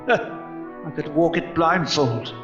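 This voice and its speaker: A man's voice: fairly high pitch, drawn-out words, echoey room, lo-fi sound, music in the background. A man in a radio play playing an elderly miser, frightened and remorseful, stammering and exclaiming with wistful feeling. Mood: nostalgic.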